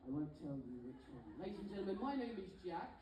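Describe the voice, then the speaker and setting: A man's voice: sits high, sing-song, quick pace, far from the mic, dull audio, crowd noise. A man with a London accent doing cheeky, joking banter as a stage show host. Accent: London English. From England. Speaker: stage show singer and compere. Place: ship theater. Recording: echoey theater recorded from afar.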